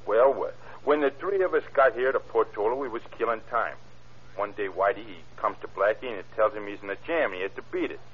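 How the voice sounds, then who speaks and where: Husky drifter under suspicion, old radio. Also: male voice, on the low side. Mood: pleading, explaining. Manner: slangy, ungrammatical, halting protests. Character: uneducated drifter suspect, evasive, telling a tall tale. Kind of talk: old-time radio crime drama acting. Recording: muffled vintage radio recording.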